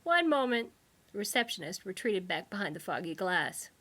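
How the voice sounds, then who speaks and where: A woman reading a humorous science fiction novel aloud, with wry, expressive storytelling. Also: lowish voice, fairly quick, slightly rough. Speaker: author reading own book. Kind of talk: scripted narration of humorous sci-fi novel.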